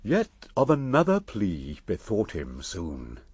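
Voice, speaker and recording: An actor playing the solemn narrator of a sacred epic, telling it in grave, emphatic verse for radio. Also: male voice, on the low side, huge pitch swings, slow, a little mellow, slightly rough, no echo, clean studio sound, heavily acted. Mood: grave, dramatic.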